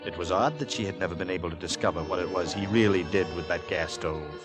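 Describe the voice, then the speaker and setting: A man narrating in 1940s radio drama style, literary, deliberate and suspenseful. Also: low-pitched. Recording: vintage 1940s radio with music.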